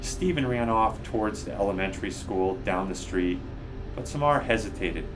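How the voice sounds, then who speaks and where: A man reading a children's book aloud with measured, deliberate phrasing. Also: fairly low pitch, lively intonation, poor audio. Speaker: guest storybook reader. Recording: music behind the voice.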